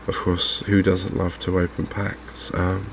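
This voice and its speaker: A man hosting a gaming video in a softly spoken ASMR commentary style, unhurried and hesitant, musing to himself. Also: sits low, completely flat delivery, a little hiss or hum.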